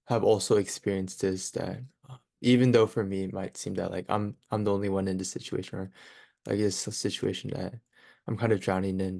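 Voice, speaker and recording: A man's voice: fairly low pitch, creaky, a little mellow, no echo, studio quality. A young man on a panel giving personal advice, hesitant and searching for words. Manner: hesitant, many fillers and restarts.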